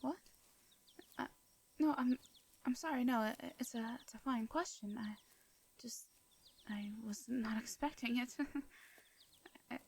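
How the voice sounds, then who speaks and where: A woman with an English accent playing a gentle, courteous vampire in an intimate fantasy audio drama, speaking softly and breathily in formal, hesitant phrases. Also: fairly high pitch, slight background noise, close to an everyday voice. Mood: flustered, playful. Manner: soft breathy delivery, formal old-fashioned diction, hesitant pauses. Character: gentle, courteous female vampire, centuries-worn, wistful yet devious.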